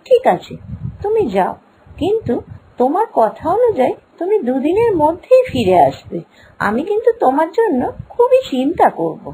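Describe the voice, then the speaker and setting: Woman voicing a proud, high-pitched cartoon goddess. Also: huge pitch swings, normal speed, warm tone, a little hiss or hum, low-quality recording. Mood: concerned. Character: proud, anxious goddess wife, quick to anger. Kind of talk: cartoon storybook dialogue.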